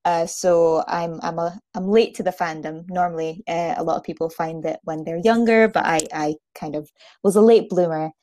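Scottish woman, 30s, chatty podcast guest. Soft-toned, slightly low voice, dry close sound. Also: fairly even intonation, normal speed, no room sound. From Aberdeen, Scotland. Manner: chatty, self-deprecating, laughs often. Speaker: podcast guest, fursuit maker. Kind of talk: casual chat, telling own story.

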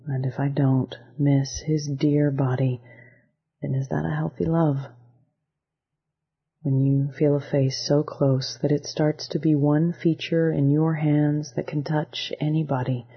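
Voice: medium pitch, slightly rough. A poet reading their own poem aloud in a recited style. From Damascus and rural Georgia, US. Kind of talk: poetry reading. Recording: slightly dull, lo-fi sound.

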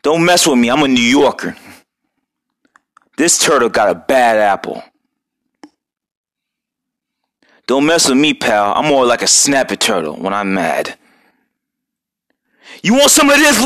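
A man voicing a hot-headed young male turtle ninja with a gruff New York accent and a tough way of talking. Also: medium pitch, sing-song, moderate pace, a bit bright, dry recording, studio quality. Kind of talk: fan voice acting of a cartoon.

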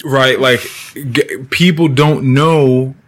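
A man's voice: mid-range voice, slow pace. Casual, profane man hosting a podcast. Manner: loose, profane, acts out quoted people. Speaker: podcast host.

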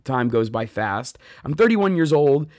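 A man's voice: mid-range voice, sing-song, unhurried, darkish tone, dry recording, clean studio sound. A man in his thirties giving an earnest, conversational devotional talk on scripture, speaking straight to listeners and telling personal stories. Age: 30s. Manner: addresses listeners directly.

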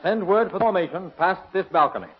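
A man's voice: sits high, expressive, a little fast, husky. A man voicing a heroic young commander in a 1930s radio serial, with a gallant, eager delivery. The old recording sounds dull.

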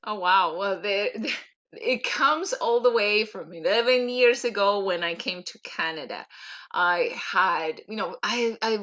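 A woman's voice: mid-range voice, measured pace, a bit bright, dull audio. A woman from Venezuela, a native Spanish speaker with a Venezuelan accent, chatting as a podcast guest. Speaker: podcast guest, LinkedIn coach. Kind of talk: conversational interview answers.

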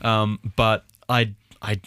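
Young guy cohosting a podcast, bit chesty from a cold, bright voice, dry close sound, laughs a lot. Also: lowish voice, flat delivery, takes it slowly, clean tone, no echo. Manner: chatty, laughs often. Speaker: podcast co-host. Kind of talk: casual chat.

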